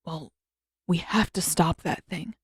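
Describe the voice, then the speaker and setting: A woman's voice: fairly low pitch, takes it slowly, slightly rough, no room sound, heavily acted. A woman reader acts a teenage prince in a fantasy audiobook. He is blunt and protective, speaking with urgency and dry understatement. The recording has very little room sound. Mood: dry, frustrated.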